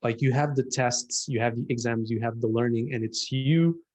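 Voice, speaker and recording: A man's voice: mid-range voice, fairly even intonation, moderate pace, darkish tone. A man from Portugal with a Portuguese accent, a designer talking reflectively in a casual conversation with a colleague, often restarting his sentences.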